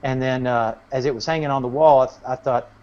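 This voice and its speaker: A man's voice: medium pitch, fairly even intonation, fast, darkish tone. A man chatting casually as a musician guest in an interview, telling anecdotes in a rambling way. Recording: dull, lo-fi audio.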